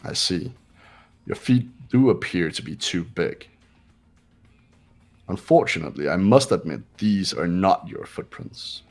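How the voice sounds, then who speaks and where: A man's voice: low voice, animated, measured pace, creaky, long pauses, faint music behind, exaggerated voice. A man playing a condescending young male genius in an amateur game dub, smug, commanding and formal in his delivery. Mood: haughty. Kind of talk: amateur voice acting in a game playthrough.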